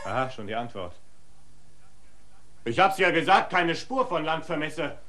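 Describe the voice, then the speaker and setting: A young actor in a radio play voicing a steward's son who talks down to people in an officious, arrogant way. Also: male voice, on the high side, sing-song, moderate pace, bright, forceful tone, slight background noise. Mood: haughty. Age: young adult.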